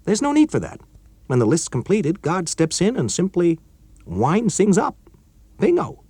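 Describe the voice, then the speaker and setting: Man doing a young male technician, nervous, urgent, high-pitched, swooping, dry close sound. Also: huge pitch swings, measured pace, warm tone, husky, no echo, exaggerated voice.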